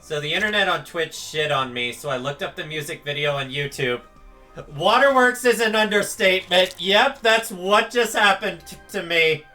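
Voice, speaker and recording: A man's voice: high voice, lively intonation, moderate pace, sharp tone. A man hosting a game reaction video, giving casual, emotional commentary. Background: game music.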